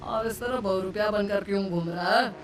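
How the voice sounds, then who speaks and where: A woman's voice: mid-range voice, moderate pace, distant mic, faint music behind, close to an everyday voice. A woman voicing a fretful, exasperated middle-aged mother-in-law in a Hindi cartoon story. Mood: indignant. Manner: fretful, exclaiming. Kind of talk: cartoon voice acting and narration.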